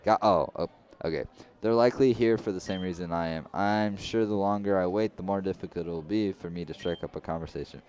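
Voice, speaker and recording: A man's voice: sits low, fairly quick, a little mellow, slight background noise. A young man reading a visual novel aloud and making wry, joking asides. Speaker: gaming video creator. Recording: slightly dull lo-fi recording.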